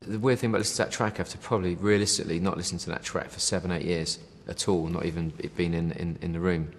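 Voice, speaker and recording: Low-voiced rambling man. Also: steady tone, fast talker, long reverb tail. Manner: rambling, many fillers, says "you know" and "mate". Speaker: band member interviewed. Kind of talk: casual interview chat.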